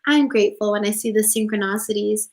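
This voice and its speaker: A woman's voice: mid-range voice, steady tone, fast talker. A woman in her twenties chatting casually in a livestream, answering viewer questions in an upbeat way with lots of "like"s. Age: 20s. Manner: chatty and upbeat, many "like"s, reads out viewer comments. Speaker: spiritual coach and vlogger.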